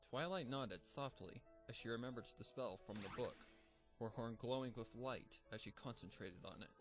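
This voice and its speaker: A man narrating a dark horror fanfiction in a steady, measured storytelling voice. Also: mid-range voice, faint music behind. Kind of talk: dramatic horror story reading.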